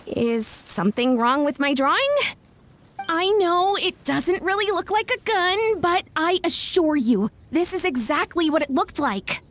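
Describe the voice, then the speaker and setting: A woman's voice: extremely high pitch, sing-song, measured pace, fairly bright tone, full character voice. A woman voicing an earnest, modest young female event organizer in a game, easily flustered and effusive. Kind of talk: English game dub acting.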